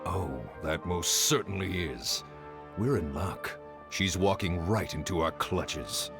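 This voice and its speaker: Menacing kidnapper thug for a game: lowish, slow, sneering delivery. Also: male voice, expressive, creaky, full character voice. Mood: smug. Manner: taunting, sneering. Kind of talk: anime game voice acting. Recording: music under game dialogue.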